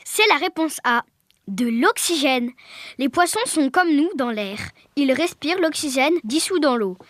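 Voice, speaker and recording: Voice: high voice, lively intonation, measured pace, fairly bright tone, slight background noise. A child reading a scripted radio presentation as a young presenter. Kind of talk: scripted radio quiz presentation, read aloud.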